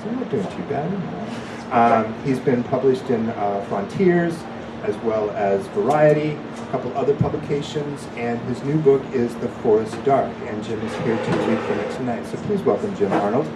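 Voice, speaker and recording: A man's voice: mid-range voice, moderate pace. A man hosting a live reading event, giving a spoken introduction of an author. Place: bookstore cafe reading event. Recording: distant mic, noisy room with hum.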